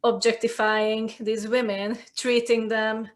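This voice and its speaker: A woman speaks as a guest in a casual conversation, her voice sitting somewhat high. She is articulate and emphatic and works through her points aloud. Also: little pitch movement, takes it slowly. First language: Hungarian.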